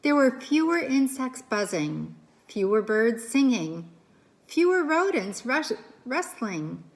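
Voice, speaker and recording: Slow, animated woman reading a storybook. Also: fairly high pitch. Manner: dramatic pauses, questions to young listeners. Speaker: teacher reading aloud to class. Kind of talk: expressive children's storybook narration.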